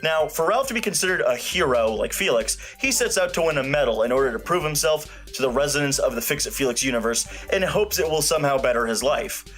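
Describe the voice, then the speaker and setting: A man's voice: on the high side, lively intonation, fast talker, hardly any pauses, fairly bright tone, soft background music. A man hosting and narrating a scripted film review in a wry, conversational, emphatic way. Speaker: film reviewer, show host.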